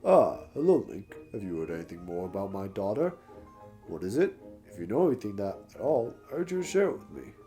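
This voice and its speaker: A man's voice: lowish voice, very animated, brisk, mellow, faint music behind, pitched below the performer's own voice. A man voicing a grave, worried father, an older headmaster in a video game. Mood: worried, restrained.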